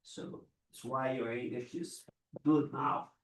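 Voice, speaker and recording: A man asks careful, tentative questions, checking that he follows. He sounds mid-pitched and ordinary. The room is echoey, the mic some way off. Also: fairly even intonation, takes it slowly, fairly bright tone, recorded from a distance. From Brazil. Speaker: student.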